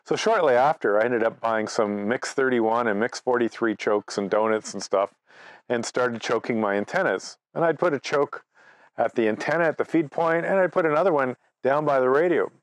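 Male presenter, sixties, mid-pitched, anecdotal and conversational, very dry acoustic. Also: moderate pace, no room sound. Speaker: amateur radio presenter. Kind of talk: informal conference presentation, personal storytelling. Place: outdoors by trees at a cottage.

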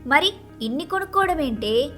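A woman acts out an envious young wife in an animated moral tale. Her voice is high and sharp, full of dramatic grumbling and wheedling. Music plays underneath. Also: normal speed. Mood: amazed, indignant. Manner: expressive, dramatic complaining and wheedling. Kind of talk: animated moral-story voice acting.

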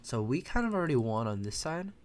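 A young American man, a native Mandarin speaker, casually commenting on his own chess game from his bedroom, chatty and quick to correct himself. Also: mid-range voice, big pitch swings. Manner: thinks aloud, chatty, self-correcting. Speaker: chess streamer. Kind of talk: casual live commentary while playing. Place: bedroom at home, indoors.

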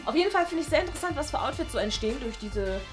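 A young woman chatting casually and playfully in a studio room, thinking aloud and excitable as she plays a guessing game. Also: high-pitched, racing. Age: young adult. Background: music.

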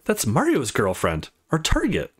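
A man's voice: medium pitch, huge pitch swings, unhurried, breathy edge. A man hosting a let's play, reading a visual novel aloud and commenting casually.

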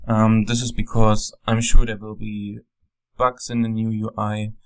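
A man with a German accent, a software developer, calmly walking through a program on a screen recording with frequent ums. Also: on the low side, unhurried, clear, resonant tone. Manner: calm, plain explaining with frequent ums.

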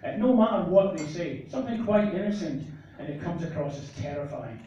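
A middle-aged man from northeast Scotland with a northeast Scottish accent, doing a deadpan, self-mocking stand-up comedy set in a small club. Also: high voice, a little fast, highs cut off. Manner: deadpan, self-mocking, gestures a lot while talking. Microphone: handheld mic, filmed from far back in the crowd. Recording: echoey club from far off, crowd laughter.

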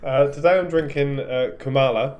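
A man with a medium-pitched voice chats as a podcast co-host. He keeps to casual banter. There is faint noise behind him. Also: normal speed, darkish tone, highs cut off. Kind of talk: casual banter among friends.

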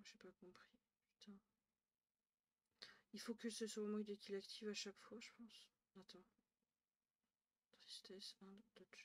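A tired young woman from northern France chatting casually with her stream viewers, thinking aloud, swearing now and then and dropping to quiet murmurs, recorded on a headset at home. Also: mid-range voice, slight background noise. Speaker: Twitch streamer. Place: small room at home, indoors.

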